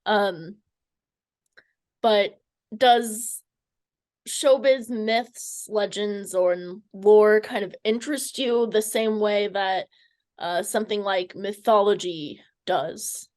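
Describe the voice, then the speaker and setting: A woman's voice: medium pitch, slow, a little mellow. A woman interviewing casually, hesitant and searching for her words as she frames questions.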